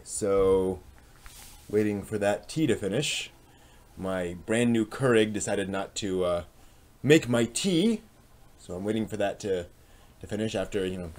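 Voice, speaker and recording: A man hosting a live stream with casual, rambling chat and hesitant asides. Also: lowish voice.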